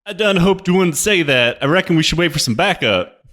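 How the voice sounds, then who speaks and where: A man puts on a folksy soldier in a comedic radio play. His voice sits high, with a hammy drawl and a nervous, homespun manner. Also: animated, fairly quick, fairly bright tone. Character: folksy, nervous Southern soldier named Tex, male.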